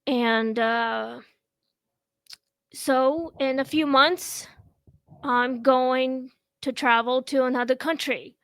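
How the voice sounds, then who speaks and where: Slow, high-voiced woman in her thirties. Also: smooth, dry recording. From Russia. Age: 30s. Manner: slow, halting, with many pauses and fillers. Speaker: host. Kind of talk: casual chat. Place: at home.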